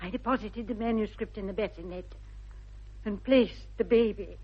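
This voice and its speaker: An actress playing a prim, easily flustered middle-aged governess with an English accent, speaking haltingly and precisely in a radio comedy. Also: female voice, mid-range voice, husky, natural voice. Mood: ashamed, confessing. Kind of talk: 1960s British radio comedy acting. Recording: dull vintage radio recording.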